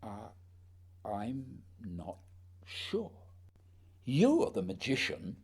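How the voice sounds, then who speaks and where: A man's voice: fairly low pitch, huge pitch swings, very slow, darkish tone, husky, exaggerated voice. A man playing a proud, duty-bound polar explorer, solemn and questioning, in a radio play. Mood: uncertain.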